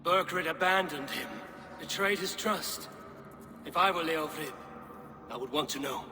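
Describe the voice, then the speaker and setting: An actor playing a resolute, honorable Viking warrior leader in a video game, commanding, measured and blunt. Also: male voice, fairly high pitch, pushed and bright. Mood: earnest, grave. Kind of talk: dramatic video game acting. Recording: clear ambient background noise.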